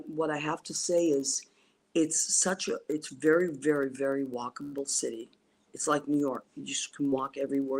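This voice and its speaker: Woman talk host, native italian speaker, rambling, enthusiastic, lots of ums, video call sound. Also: low-pitched. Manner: rambling and enthusiastic, many fillers and restarts. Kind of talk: casual livestream chat. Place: abroad on a trip, streaming remotely.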